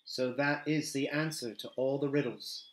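A man voicing a grave, noble male captain of men who speaks slowly and softly. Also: mid-range voice, fairly even intonation, close to an everyday voice. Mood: stern. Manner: slow, soft, measured. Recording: roomy echo.

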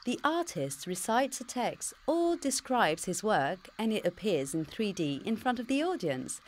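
A woman's voice: mid-range voice, sing-song, unhurried. A woman voicing a cheeky British digital future self. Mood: teasing. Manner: cheeky, teasing and dodging questions. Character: computer-generated future self of a young woman, smug and evasive. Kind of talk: pre-recorded game dialogue.

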